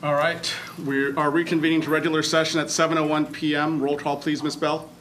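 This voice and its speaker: Man as meeting chair, brisk and procedural, noisy room recording. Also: on the high side, little pitch movement. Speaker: board president chairing the meeting. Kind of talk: running a formal meeting. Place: school district meeting room.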